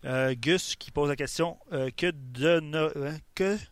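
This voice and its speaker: A man's voice: a little high, slow pace, no echo. A man hosting a hockey show, reading listener trade ideas with many fillers. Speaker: radio show host.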